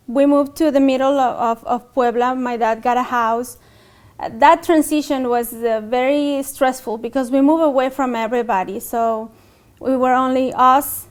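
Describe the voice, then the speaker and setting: A woman from Mexico who speaks English with a Mexican Spanish accent. Her voice sits a little high. She tells her own story slowly and haltingly, stopping often on fillers and false starts. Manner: hesitant, many fillers and restarts. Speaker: interviewee. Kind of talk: documentary interview, personal story.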